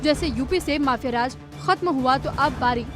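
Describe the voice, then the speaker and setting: A woman's voice: high voice, moderate pace. A woman presenting the news in a scripted narration style. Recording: music bed under voice.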